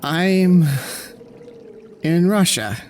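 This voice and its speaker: A man playing a weary stranded scientist, very slow. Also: sits high, expressive, a little mellow, a little hiss or hum, heavily acted. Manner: tired, muttering, wry.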